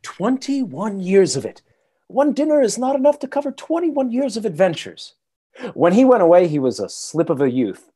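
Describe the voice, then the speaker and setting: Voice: mid-range voice, sing-song, normal speed, dark tone, husky. An actor playing a genial, curious old father in a radio play, hearty, chatty and inquisitive.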